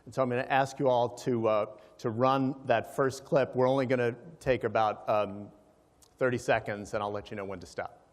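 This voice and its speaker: A man's voice: medium pitch, fairly even intonation, moderate pace. A man giving an explanatory presentation to a live audience, checking in with listeners, with hesitations as he goes. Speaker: conference speaker, organization president. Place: large hall, live event. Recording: reverberant hall sound.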